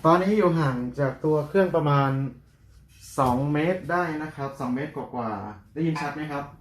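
A man's voice: a little high, animated, moderate pace. A man helping with a product demonstration, speaking politely and conversationally. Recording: echoey room, faint background noise.

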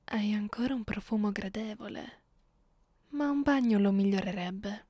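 A woman playing a haughty, seductive, sadistic female vampire in an ASMR roleplay, commanding and deliberate in manner. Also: medium pitch, warm tone, natural voice. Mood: seductive, imperious. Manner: commanding, slow and deliberate, slipping into breathy whispers. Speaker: roleplay narrator and voice actor. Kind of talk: ASMR roleplay, slow and close. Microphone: close, dry microphone.

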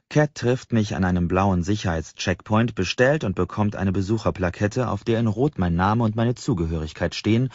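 A man reads a novel aloud as an audiobook narrator. His voice is fairly low and husky. The recording sounds roomy and a little dull. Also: a little mellow. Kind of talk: scripted first-person novel narration.

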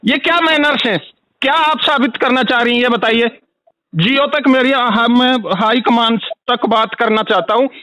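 Male caller, very high voice, furious and insistent, customer complaint rant, dry phone recording. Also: normal speed, pushed and bright, dry recording. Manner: angry, insistent, ranting, repetitive. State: angry. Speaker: complaining customer calling a helpline.

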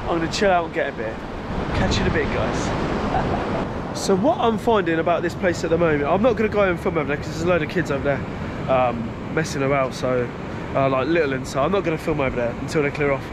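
Chatty bunged-up bloke on a noisy beach. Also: male voice, on the high side, expressive, quick pace. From England. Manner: chatty, rambling, self-correcting with small laughs. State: blocked nose from a cold. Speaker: fishing vlogger. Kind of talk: casual vlog narration. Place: open beach by the sea. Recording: loud surf noise outdoors. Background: loud waves and wind.

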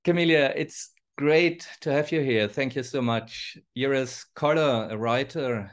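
A man hosting an interview over a video call, introducing his guest in a measured, formal way. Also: mid-range voice. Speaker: host. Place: home study with bookshelves.

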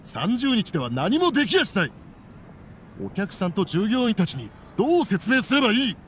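男性が演じる、ゲームの劇場支配人。仲間を守る実直な人物で、落ち着いた毅然とした話し方。. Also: male voice, sits high, very animated, moderate pace, a little hiss or hum. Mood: firm protest. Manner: composed, firm, formal. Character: principled, protective male theater manager.

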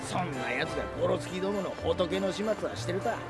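An actor playing a middle-aged, excitable small-time crook and informant in a video game, griping in coarse, blustery slang. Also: male voice, high-pitched, fast, creaky, over loud music. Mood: irritated grumbling.

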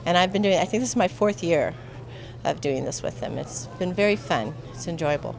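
A woman's voice: fairly low pitch, animated, a little fast, creaky, a little mellow, faint music behind. An American woman in her forties giving an unscripted interview as a volunteer organizer. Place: indoor exhibit hall. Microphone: handheld microphone.

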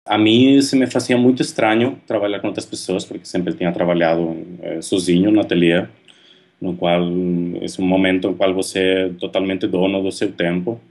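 A man in his thirties from Spain with a Spanish accent, talking thoughtfully to a webcam about his art in a halting way, with many fillers and restarts. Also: deep voice, steady tone, a little mellow. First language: Spanish or Catalan. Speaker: artist. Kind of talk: reflective monologue to camera. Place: indoor room at home. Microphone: webcam at close range.

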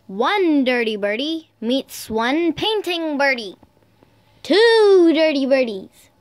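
Slow, high-voiced woman reading to toddlers. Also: no echo. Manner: sing-song, deliberate, child-directed delivery. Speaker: storyteller, video host. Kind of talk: read-aloud picture books for toddlers.

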